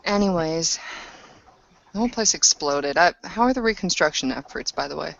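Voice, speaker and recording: Female roleplay gamer, low voice, talking in character, dull muffled audio. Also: expressive, normal speed, creaky, fairly bright tone, dry recording. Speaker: tabletop roleplay player.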